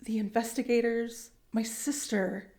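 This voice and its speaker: A woman's voice: a little high, little pitch movement, measured pace, darkish tone. A woman playing an anxious member. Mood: worried. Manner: earnest, anxious. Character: worried woman recovering in physical therapy.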